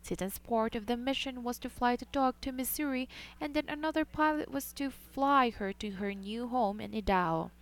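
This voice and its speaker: A woman with a somewhat high voice reads a scripted news feature. She keeps a measured, even news delivery throughout. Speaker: news reporter.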